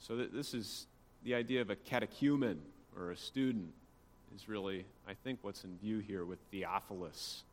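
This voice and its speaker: Low-voiced man teaching in a reverberant hall. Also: moderate pace. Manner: conversational, explanatory, with casual asides. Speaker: church teacher. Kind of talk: informal church history lecture. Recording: long hall reverb, faint background noise.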